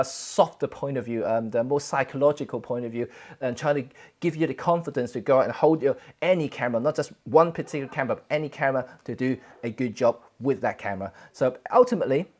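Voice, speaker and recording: A Cantonese-native man from Hong Kong chats casually to viewers of his photography livestream. He talks in a halting, relaxed way, full of fillers and restarts, from a home setup. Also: a little high. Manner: halting, many fillers and self-corrections. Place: home, indoors. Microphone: camera setup for streaming.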